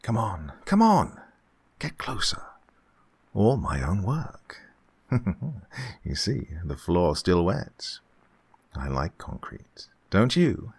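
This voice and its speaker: A man's voice: deep voice, wildly swooping pitch, takes it slowly, long pauses, a little mellow, breathy edge, heavily acted. A man acting a suave, gloating murderous employer in a horror radio play, with oily politeness and fussy, menacing repetition. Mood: creepy, gloating. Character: suave, gloating male employer who murders his housekeeper.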